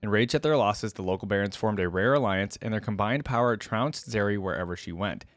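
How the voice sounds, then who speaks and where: A man's voice: fairly low pitch, fairly quick, dry recording. A man reacting and reading a story aloud, moving between narration and casual, informal commentary. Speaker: reaction video host and reader.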